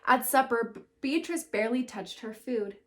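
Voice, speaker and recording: A woman educator giving an expressive read-aloud storytelling lesson for children, acting out the characters' lines. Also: fairly high pitch, moderate pace. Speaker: school educator reading to children.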